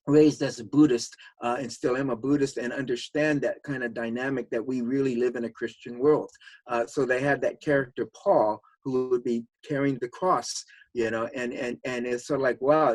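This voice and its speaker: A man's voice: mid-range voice, a little mellow, dry recording, low-quality recording. A man reflecting on a panel discussion, talking conversationally with frequent fillers. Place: video call.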